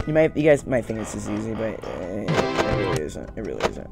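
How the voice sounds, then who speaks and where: A man's voice: lowish voice, huge pitch swings, moderate pace, creaky. A young man doing casual gameplay commentary, repeating short phrases to himself as he plays. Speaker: gaming video host. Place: small room at home, indoors. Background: game music.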